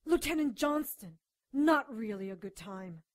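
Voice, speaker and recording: A feisty, defiant doctor in a supernatural radio drama. Her voice is fairly high and recorded dry and close, with light music at times. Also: animated, measured pace, no echo. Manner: defiant, outspoken. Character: feisty, exasperated woman doctor narrating her own supernatural case. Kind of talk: radio drama acting with first-person narration.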